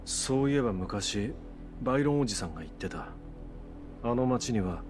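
An actor playing a brooding, resolute warrior nobleman in a video game, grave and measured in delivery. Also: medium pitch, lively intonation, soft background music, natural voice. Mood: grave, watchful. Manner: grave, measured, hushed at times. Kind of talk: restrained dramatic video game acting.

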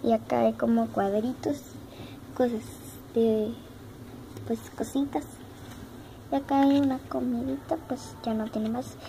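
A young Argentine girl chats on and on, repeating words and using lots of little diminutives. Her voice sits a bit high. The home phone recording carries a clear layer of background noise. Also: steady tone, unhurried, long pauses, dark tone. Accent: Argentine Spanish. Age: child. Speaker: young vlogger showing her dollhouse. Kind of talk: casual show-and-tell narration. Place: home room with wooden floor, indoors. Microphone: phone camera held in hand.

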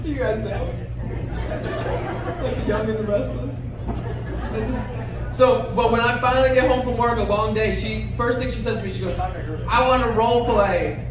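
A man's voice: sits high, fairly even intonation, moderate pace, pauses often, background noise. Young male comic in an echoey club. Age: 20s. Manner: self-deprecating, teasing the crowd. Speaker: stand-up comedian. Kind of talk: stand-up comedy set. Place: comedy club stage before a large audience. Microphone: handheld mic, heard from far in the room. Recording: echoey club room from far off, loud crowd laughter.

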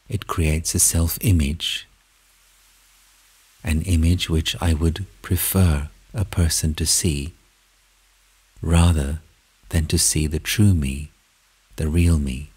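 A man leading a guided meditation, speaking in measured short phrases with long pauses, soft and at times almost whispering. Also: rumbling low, husky.

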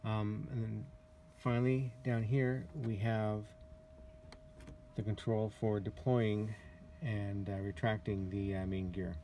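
Low-voiced man, halting casual walkthrough. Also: little pitch movement, mellow. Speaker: glider pilot and owner giving a tour. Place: outdoors in an open glider cockpit in a driveway. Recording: handheld camera recording with clear background noise.

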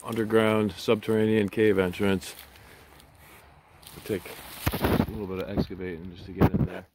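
A man narrating casually as he explores rocky woods, pointing things out with excitement while scrambling over rocks on a handheld camera. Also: lowish voice, moderate pace. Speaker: vlogger exploring outdoors. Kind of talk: casual handheld walking commentary. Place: outdoors in rocky woodland. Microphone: handheld action camera. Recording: outdoor camera audio with clear wind and rustling.

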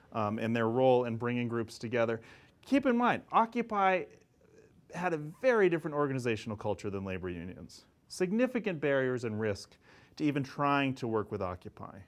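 Animated man lecturing a class. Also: medium pitch. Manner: animated, gesturing, conversational with asides. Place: classroom in front of whiteboard. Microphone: lapel mic.

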